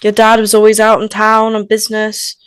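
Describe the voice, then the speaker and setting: A woman's voice: mid-range voice, completely flat delivery, a little fast, smooth, no room sound, close to an everyday voice. A woman playing a blunt, chatty teenage best friend in a script table read. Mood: frank, gossipy.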